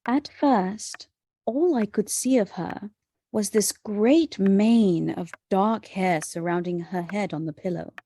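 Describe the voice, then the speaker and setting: Slow-spoken, earnest young gentlewoman. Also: mid-range voice, a little hiss or hum. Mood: awed. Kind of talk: dramatic radio play acting.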